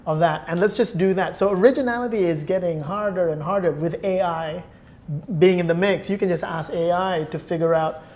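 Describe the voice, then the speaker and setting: A man speaking as a guest in a relaxed, conversational podcast discussion. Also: sits high, a little fast.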